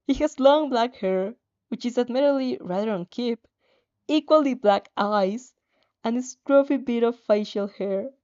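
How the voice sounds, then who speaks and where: A woman's voice: medium pitch, dark tone, lo-fi sound. A woman casually reading a story aloud and reacting as she goes, giggly and prone to stumbling over the words. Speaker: host reading and reacting to fan fiction.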